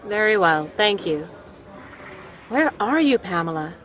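Casting: actress as self-reliant, guarded Edwardian woman, mid-range voice, brisk, radio mystery. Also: medium pitch, big pitch swings, fairly bright tone. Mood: worried. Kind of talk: period radio play acting. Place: at home on a video call.

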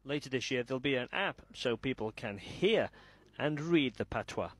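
Male newsreader, British accent, medium pitch, scripted studio delivery. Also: lively intonation, moderate pace, slightly rough. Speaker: television news presenter. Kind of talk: scripted news narration.

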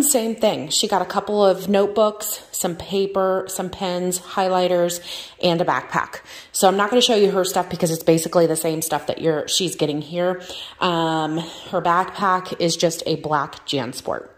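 A woman in her thirties, a mom and vlogger, chatting casually at her kitchen counter with lots of fillers. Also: fairly low pitch. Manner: chatty, rambling, filler-heavy. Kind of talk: casual show-and-tell vlog. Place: home kitchen. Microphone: phone camera held over a countertop.

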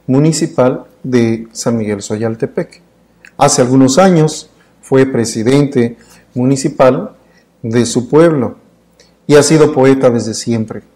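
A middle-aged man with a mid-pitched, mellow voice that has a soft, dark tone. He hosts a studio interview in a dark room, asking questions in long, flowing clauses. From Mexico. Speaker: interview host.

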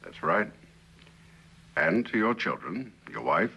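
A middle-aged man playing a stern, cynical company boss in a 1960s television drama, lecturing in a measured, condescending way. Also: on the low side, sing-song, vocal fry, sharp tone, breathy edge, muffled recording, heavily acted. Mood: cold, probing. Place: indoor living room set.

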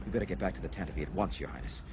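A man's voice: low-pitched, noisy background, natural voice. A male actor playing a loyal, cautious starship captain in a radio drama, with a military manner. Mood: cautious, respectful. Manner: brisk, military. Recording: loud dramatic music behind the voice.

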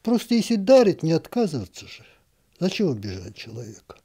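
Wry man reading a story, mellow tone. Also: on the high side, huge pitch swings, fairly quick, husky. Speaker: narrator reading a short story. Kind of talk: expressive literary reading.

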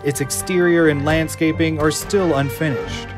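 A man narrating the stage directions of an audio drama in a steady, descriptive reading. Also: fairly high pitch, normal speed, music in the background.

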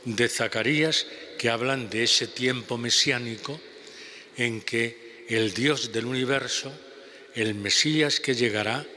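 An older man with a Spanish accent preaching a homily at a lectern, slow and measured with pauses. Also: mid-range voice, sharp tone. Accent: Spanish (Spain). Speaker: priest. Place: large church basilica. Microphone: lectern gooseneck mic. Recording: long church reverb.

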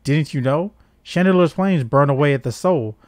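A man voicing a cold, menacing male villain commander from a video game, measured and commanding. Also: on the high side, darkish tone, close to an everyday voice. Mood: cold menace. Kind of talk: voiced reading of game dialogue. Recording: game music behind.

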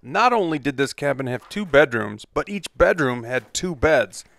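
A middle-aged man talks through his work in an easygoing, wry way, like a casual vlog. His voice sits at an ordinary pitch and is picked up by a camera mic outdoors in a pine forest. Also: lively intonation, moderate pace, vocal fry, fairly bright tone. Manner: easygoing, wry, matter-of-fact. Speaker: vlogger.

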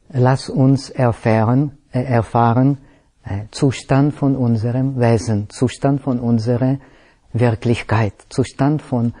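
A male meditation teacher speaks very slowly in a language that is not his own. His tone is mellow and relaxed. He often stops to search for a word and starts a phrase over. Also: mid-range voice. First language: not German. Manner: halting, searching for words, many pauses and self-corrections. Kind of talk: slow explanatory dharma talk.

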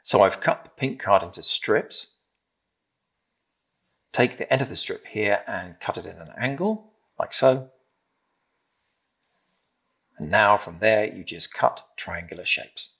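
A middle-aged British man gently and encouragingly demonstrating a craft step by step in simple instructions. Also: lowish voice, lively intonation, slow pace, pauses often, breathy edge. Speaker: craft presenter. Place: indoor room with timber-framed walls, at a table.

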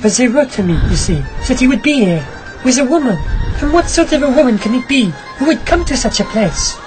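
A narrator voicing a desperate, jealous young wife in a mask, pleading with breathless urgency. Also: female voice, a little high, animated, fairly quick, over music, pitched above the performer's own voice, lightly acted. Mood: anxious.

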